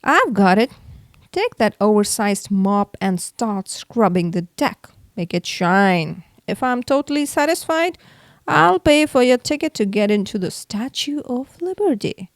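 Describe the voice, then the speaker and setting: A woman's voice: on the high side, sing-song, unhurried, no echo. Storybook mouse captain played by a woman: male, commanding, hearty, bossy, very dry sound. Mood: bossy, pleased.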